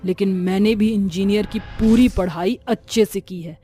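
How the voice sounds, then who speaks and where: A woman's voice: medium pitch, steady tone, moderate pace, mellow, faint music behind, natural voice. A woman voicing an earnest young village wife who thinks aloud in a storybook cartoon. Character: young village wife, engineer, later a witch.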